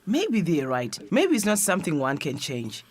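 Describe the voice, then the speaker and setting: A woman's voice: on the low side, wildly swooping pitch, moderate pace, a little hiss or hum. A woman playing a troubled, weary middle-aged South African mother in a radio drama, speaking earnestly.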